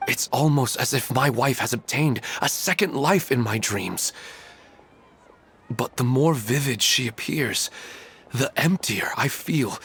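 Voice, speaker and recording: A man's voice: mid-range voice, a bit bright, slightly rough. An actor playing a melancholy, humble widowed father in an anime game, speaking in a hesitant, wistful way.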